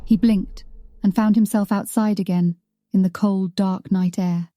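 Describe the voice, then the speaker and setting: A woman narrates horror fiction with a very dark, velvety tone at a middling pitch. Her delivery is scripted, dramatic storytelling, with faint music underneath. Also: fairly even intonation, unhurried, no room sound, studio quality. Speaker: audiobook narrator.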